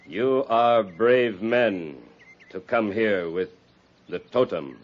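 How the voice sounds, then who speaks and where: An actor playing a proud, vengeful Cheyenne chief in a 1950s radio drama, speaking grave, halting broken English in short clipped phrases. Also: male voice, on the low side. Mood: grave, relenting. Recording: dull 1950s radio recording.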